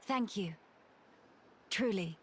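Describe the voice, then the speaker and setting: A woman playing a composed young crown princess in a video game, speaking with formal, measured dignity. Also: mid-range voice, expressive, slight background noise. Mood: calm, gracious. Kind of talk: video game cutscene acting.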